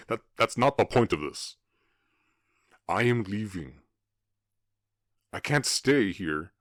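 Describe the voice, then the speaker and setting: A man voicing a gruff but tender half-orc cowboy in an ASMR roleplay, ashamed and protective, speaking haltingly with feeling and often dropping to a murmur. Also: low voice, a bit bright, no echo, studio quality. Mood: exasperated.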